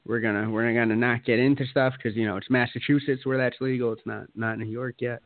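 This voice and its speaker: A man's voice: mid-range voice, level tone, fast talker, dry recording. A man co-hosting a casual podcast chat, joking, swearing and laughing often. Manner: laughs a lot, swears, jokey asides. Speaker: podcast co-host. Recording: dry, phone-like sound.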